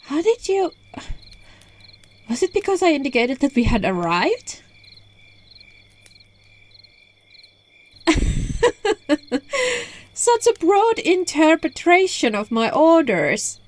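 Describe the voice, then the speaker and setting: A woman's voice: high voice, very animated. A woman voicing a seductive, teasing, controlling witch in an ASMR roleplay, coaxing the listener, recorded on a phone microphone. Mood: stern, controlling. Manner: slow, coaxing, breaking into whispers and giggles.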